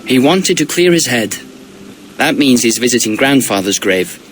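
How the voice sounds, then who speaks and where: An actor playing a cocky, hot-headed young Viking prince with a brash manner in a video game. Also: male voice, medium pitch, expressive, normal speed, a little hiss or hum, close to an everyday voice, poor audio. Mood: earnest. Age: young adult. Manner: taunting, brash. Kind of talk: dramatic video game cutscene acting.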